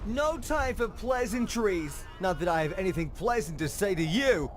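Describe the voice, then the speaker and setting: A man's voice: high-pitched, expressive, moderate pace, soft background music. A man playing a haughty, disapproving envoy of a fantasy queen in a video game, curt and formal. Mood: grudging, urgent. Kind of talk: video game character acting.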